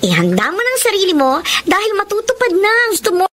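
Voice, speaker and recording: A woman's voice: extremely high pitch, huge pitch swings, fast, a bit bright, exaggerated voice. A Filipino woman playing an emotional young bride-to-be torn by a secret affair in a radio drama. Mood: insistent. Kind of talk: Tagalog radio drama acting and confessional narration. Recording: radio drama with music bed.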